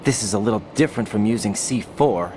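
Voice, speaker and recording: An actor playing a young rookie soldier in a video game, earnest, questioning and unsure of himself. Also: male voice, fairly low pitch, fast talker, darkish tone, slight background noise, natural voice. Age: young adult.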